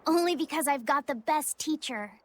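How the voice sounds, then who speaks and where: A woman's voice: sits high, moderate pace, natural voice. A woman voicing a young boy pupil in a video game, earnest, shy and careful with his words. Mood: eager. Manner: hesitant, earnest. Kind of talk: video game cutscene acting.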